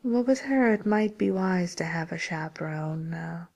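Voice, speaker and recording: A woman playing a polite, tactful matchmaker for villains in an ASMR roleplay, with a soft, whispery voice and hesitant, courteous service patter. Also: lowish voice, lively intonation, a little slow. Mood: helpful, courteous.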